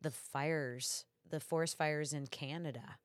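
A middle-aged woman guest on a podcast, a comedian with wry, joking asides, her voice raspy and stuffy from smoky air, recorded in a podcast studio. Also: low voice, fairly even intonation, a little slow, no room sound. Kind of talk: casual podcast chat.

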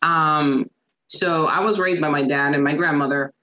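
A woman with a Puerto Rican accent, a chiropractor chatting as a podcast guest, laughing often and filling pauses with ums. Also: low-pitched, fairly even intonation, sharp tone, poor audio. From Puerto Rico. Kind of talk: casual interview chat.

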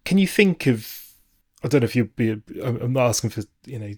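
A man's voice: mid-range voice, lively intonation, a little fast. A man hosting a podcast, asking long, hesitant questions full of restarts. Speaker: podcast host. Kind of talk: interview questions.

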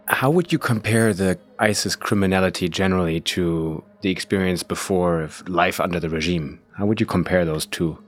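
A man's voice: low-pitched, normal speed, few pauses, faint music behind. A male podcast host interviewing guests and narrating scripted passages, asking plain, direct questions.

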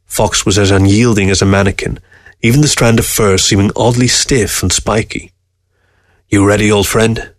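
A man narrating a scripted short story in a measured, literary delivery. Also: lowish voice, steady tone, no room sound. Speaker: story reader.

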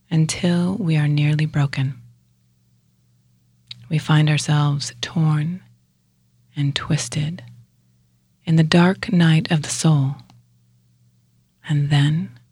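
A woman leading a guided yoga nidra meditation as a teacher, hushed and measured, with a breathy, husky voice that often nears a whisper and pauses between short phrases. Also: sits low, no room sound.

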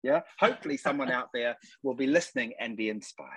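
Kiwi guy, husky voice, gushing and chatty, dry close sound. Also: mid-range voice, fast talker, a bit bright, dry recording, rough recording. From New Zealand. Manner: effusive, chatty, theatrical. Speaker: guest. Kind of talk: interview chat. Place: home in London.

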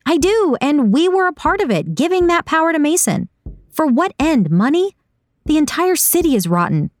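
A woman's voice: fairly high pitch, very animated, unhurried, no echo, studio quality, voice pushed up. A woman voicing an indignant, uneasy woman in an audiobook, with an incredulous, emphatic and challenging delivery. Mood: bitter. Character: Saga, indignant hard-drinking woman, uneasy and accusing. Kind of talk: audiobook character dialogue.

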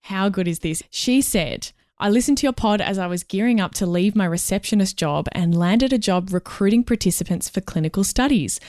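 A woman co-hosting a casual career podcast, upbeat and quick to laugh. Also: medium pitch, expressive, darkish tone, dry recording, studio quality. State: a bit under the weather. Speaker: podcast co-host, HR and recruitment professional.

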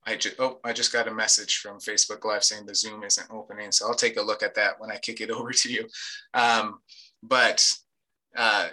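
Bright-voiced man hosting an online event. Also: fairly low pitch, low-quality recording. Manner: loose, rambling, with many fillers. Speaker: event host. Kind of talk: casual event introduction.

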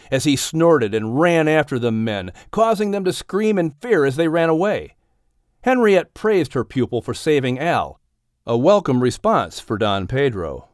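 A man narrating a children's audiobook story in an expressive, scripted storytelling style. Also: medium pitch, dry recording, studio quality.

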